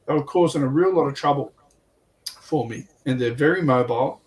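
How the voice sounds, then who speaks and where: Man casually recapping a game, mid-pitched. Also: a little fast, darkish tone, a little hiss or hum. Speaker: tabletop wargame player on a livestream.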